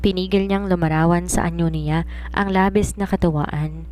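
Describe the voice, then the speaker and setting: A Filipino woman reads a romance novel aloud as a storyteller. Her voice sits a little low for a woman, and she follows the script in narration style. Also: fairly even intonation, moderate pace, a little mellow.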